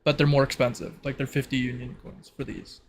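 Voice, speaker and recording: A man's voice: mid-range voice, flat delivery, fairly quick, a little hiss or hum. A man acting as game master, casually explaining the rules of a tabletop roleplaying game.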